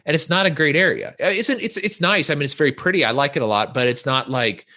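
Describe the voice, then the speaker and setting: A man's voice: fairly high pitch, quick pace, sharp tone. A man from Northern California, a guest in a casual podcast conversation, talking in a rambling way with many restarts and fillers.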